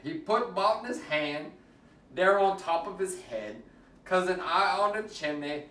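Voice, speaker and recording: Animated man reading aloud, echoey. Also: sits high, measured pace. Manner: exaggerated, playful delivery. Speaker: storyteller reading a picture book aloud. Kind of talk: theatrical storybook reading in Cajun dialect. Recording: echoey room, distant mic.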